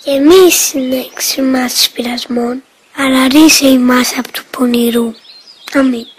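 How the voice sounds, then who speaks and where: A woman voicing a devout small boy in a cartoon, reciting a prayer slowly in a hushed, half-whispered voice. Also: high voice, fairly even intonation, slight background noise, natural voice.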